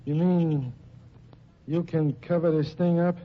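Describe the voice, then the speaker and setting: An actor playing a tough, surly gangster boss with blunt slangy talk in an old radio crime drama. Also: male voice, on the high side, steady tone, slow. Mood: grudging, suspicious. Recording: dull old radio recording.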